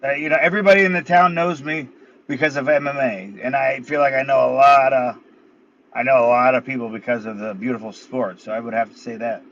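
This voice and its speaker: A man in his thirties talks laid-back and blunt, swearing now and then. His voice is mid-pitched and comes through dull, with faint hiss behind it. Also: steady tone, brisk, a bit bright. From United States. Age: 30s. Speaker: guest, MMA fighter. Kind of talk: casual interview. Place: in his car.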